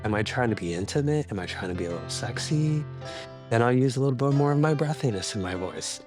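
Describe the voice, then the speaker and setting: A man speaks with a lively, swooping voice and often breaks into short vocal demonstrations. He is a working singer talking in an interview. A soft guitar plays faintly underneath. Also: medium pitch, fast. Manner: animated, demonstrates with sung sounds. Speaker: professional singer. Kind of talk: interview talk.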